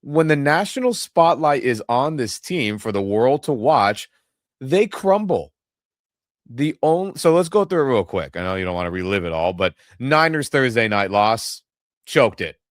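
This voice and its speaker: A man's voice: mid-range voice, a little slow, no echo, studio quality. Irritated man with a head cold. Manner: irritated, venting, animated. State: nasal from a cold. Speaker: podcast co-host. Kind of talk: casual sports reaction chat. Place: home in Los Angeles. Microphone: webcam setup.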